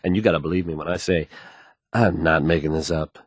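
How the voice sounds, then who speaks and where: A man's voice: a bass voice, fairly even intonation, brisk, breathy edge, no echo. Confident radio newsman, dry studio sound. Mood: confident, emphatic. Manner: assured, folksy, dramatic announcer delivery. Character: confident, smooth male radio news host with showman's flair. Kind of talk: in-game radio news acting.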